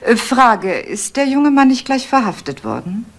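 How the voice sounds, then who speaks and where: A woman's voice: on the high side, sing-song, measured pace, exaggerated voice. A woman acting an insistent, curt married complainant in a radio crime drama. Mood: insistent, indignant.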